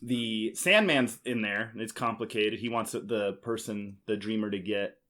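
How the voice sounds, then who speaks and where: A man's voice: on the low side, unhurried, a bit bright, a little hiss or hum. A man hosting a casual board game podcast, explaining in a teasing, jokey way. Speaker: podcast host.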